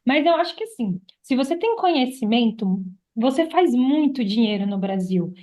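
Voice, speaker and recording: A woman's voice: a little high, big pitch swings, moderate pace, dark tone. A woman with a Brazilian accent, speaking casually as a guest in a podcast conversation. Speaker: podcast guest, affiliate marketer.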